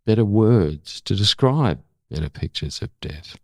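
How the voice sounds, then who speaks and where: An older Australian man with a gravelly voice, speaking as an interview guest and former correspondent. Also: lowish voice, lively intonation, measured pace, no echo, clean studio sound. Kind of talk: dramatic spoken-word recital of own prose, then reflective conversation.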